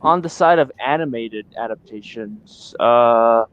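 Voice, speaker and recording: A man chatting on a podcast, speaking in a measured, thoughtful way. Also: mid-range voice. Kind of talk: casual chat. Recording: dry, slightly muffled mic.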